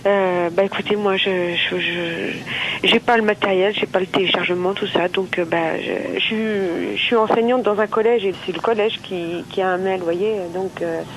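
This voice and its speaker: A woman's voice: mid-range voice, steady tone, moderate pace. Female phone caller, polite, hesitant, natural unscripted talk, light noise on the line. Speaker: customer calling a shop, teacher. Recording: noisy telephone line.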